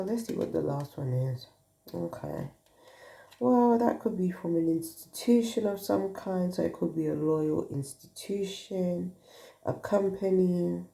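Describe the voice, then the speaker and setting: A woman with a sniffly cold talks through a card reading, thinking aloud as she goes. Her voice sits low and gravelly with a dark, mellow tone. The phone recording carries the echo of a roomy space. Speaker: tarot card reader. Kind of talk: casual card reading to viewers. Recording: echoey room.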